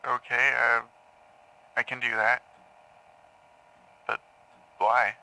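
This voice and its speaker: A troubled patient in an audio drama, haunted by what he has done. His voice is very sharp and tense, with a fried, creaky edge. He speaks slowly and haltingly, stumbling over words and sinking toward a whisper. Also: medium pitch, little pitch movement, exaggerated voice, poor audio. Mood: hesitant, subdued. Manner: halting, stammering, drops into near-whispers. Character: troubled male patient confessing to killing his parents, haunted, confused. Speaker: therapy patient.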